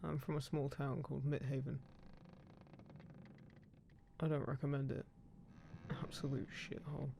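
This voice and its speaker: A man's voice: mid-range voice, flat delivery, normal speed, pauses often, noisy background, lightly acted. A man playing a guarded ghost pirate captain, protective of his crew, speaking plainly and unhurriedly to one listener in an audio roleplay. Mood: guarded, wry. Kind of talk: immersive roleplay audio drama, spoken intimately to one listener. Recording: clear background ship-creak sound effects. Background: creaking wooden ship ambience.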